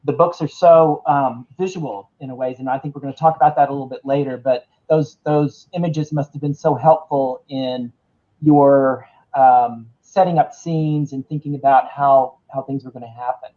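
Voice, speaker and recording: A man's voice: medium pitch, fairly even intonation, moderate pace, dark tone. A man hosting a book launch interview, asking questions hesitantly with many fillers. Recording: dull lo-fi sound.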